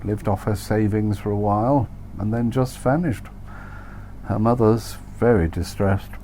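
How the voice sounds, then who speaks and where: A man acts out a young police inspector in an audiobook. He is courteous and official, pitched above the narration, with a mellow tone and faint noise behind. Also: fairly even intonation, a little slow, natural voice. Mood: formal questioning. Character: young red-haired British police inspector, formal and polite. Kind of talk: audiobook character dialogue.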